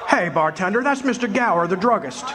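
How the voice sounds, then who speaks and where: A man's voice: high-pitched, animated, fairly quick. A man playing a bewildered, exasperated family man, stammering and agitated in a live radio drama. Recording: live stage recording, faint room noise.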